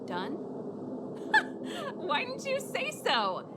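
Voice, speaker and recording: A woman's voice: extremely high pitch, wildly swooping pitch, extremely slow, very noisy, heavily acted. An actress playing a confident, easygoing young woman mechanic and rock musician in a video game, with a breezy, self-assured manner. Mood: breezy, friendly.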